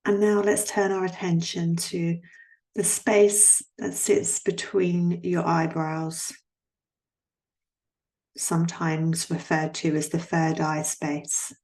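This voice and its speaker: A woman guiding a yoga nidra relaxation in a soothing, gently instructing manner, leaving long pauses between phrases. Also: on the low side, flat delivery. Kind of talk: guided relaxation meditation.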